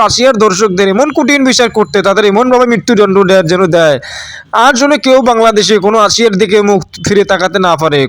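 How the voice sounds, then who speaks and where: A man plays an earnest cartoon news reporter with a Bangladeshi accent. His high voice moves quickly in a solemn, declamatory appeal. The recording is dry. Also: a bit bright, dry recording. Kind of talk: amateur cartoon news narration.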